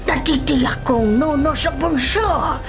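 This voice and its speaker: An older village healer woman, grave and foreboding, speaking slowly in solemn radio drama style. Music is clearly heard behind her. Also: sits high. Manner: solemn, ominous, deliberate phrasing. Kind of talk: Filipino radio drama acting.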